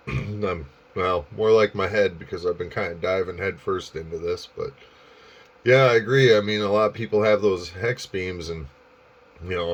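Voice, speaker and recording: Male ham radio hobbyist, deep voice, rambling casual delivery, slightly muffled audio. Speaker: amateur radio operator.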